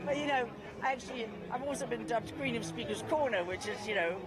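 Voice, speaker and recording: A woman being interviewed outdoors, her voice hoarse and fading, chatting with many ums and pauses. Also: fairly high pitch. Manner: chatty, hesitant with many fillers. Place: outdoors at a busy urban rally. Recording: crowd behind, outdoor street sound. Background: crowd noise.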